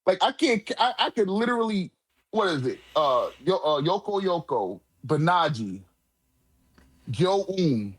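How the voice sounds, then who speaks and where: A man's voice: high voice, sing-song, unhurried, slightly rough. A man in a casual manga discussion, talking in an emphatic, profane, slang-heavy way as a commentator.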